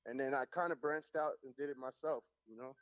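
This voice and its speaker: A young Caribbean man, a music producer, chatting casually as a radio interview guest, relaxed and rambling with lots of fillers. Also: medium pitch, brisk. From Caribbean, Jamaican and Haitian. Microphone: remote line, could not hear host. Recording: muffled lo-fi line.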